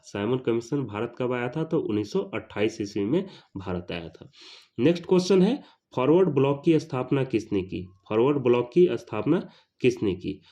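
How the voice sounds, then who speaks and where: A man's voice: medium pitch, warm tone, muffled recording. A man teaching an exam-prep lesson, reading out questions and answers in a didactic, repetitive way. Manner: didactic, repeats phrases for emphasis.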